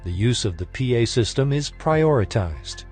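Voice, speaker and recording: A man reading a scripted technical training lesson in a formal, measured, instructional manner. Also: mid-range voice, sing-song, darkish tone, soft background music. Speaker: instructor narrator.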